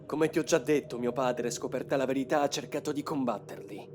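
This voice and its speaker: A man's voice: medium pitch, a little fast, darkish tone, lightly acted. A man voicing a grave, determined young man in a motion comic dub, with a solemn, explanatory delivery. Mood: somber. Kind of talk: dramatic motion comic dubbing. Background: music.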